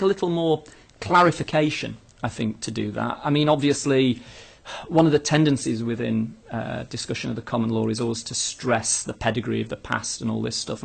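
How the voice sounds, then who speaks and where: A man's voice: mid-range voice, vocal fry, breathy edge. A man giving an informal academic talk as a lecturer, answering questions off the cuff in a tentative, self-interrupting way, thinking aloud. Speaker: law lecturer leading a live question session.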